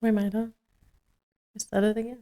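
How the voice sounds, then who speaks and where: A woman's voice: medium pitch, flat delivery, moderate pace, dark tone. A woman co-hosting a podcast, reading a script and chatting casually, giggly and playful with joking asides. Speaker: podcast co-host. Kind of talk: scripted table read mixed with casual banter.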